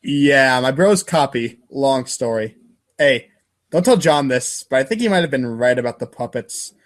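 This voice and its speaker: A man's voice: mid-range voice, animated, moderate pace, close to an everyday voice. A man voicing an aloof, slangy teenage boy who rambles casually to hide his nerves in a comic reading. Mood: uneasy, rambling. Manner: casual, rambling, affectedly cool.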